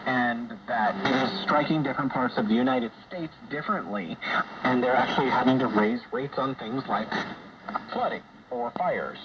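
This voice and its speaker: A man hosting a conversational radio discussion, explaining things in a measured, hesitant way. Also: mid-range voice. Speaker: radio show host. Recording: dull, noisy shortwave radio broadcast.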